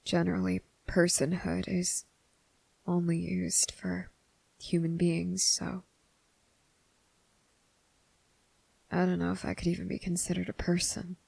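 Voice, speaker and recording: A woman performs a guilt-ridden young male vampire in an intimate ASMR roleplay. The voice is lowish and very creaky, with a narrow, subdued range. He speaks hesitantly and earnestly, as if pleading to be believed. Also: dark tone, dry recording, heavily acted. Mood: nervous, halting. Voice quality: breathy, husky, gravelly.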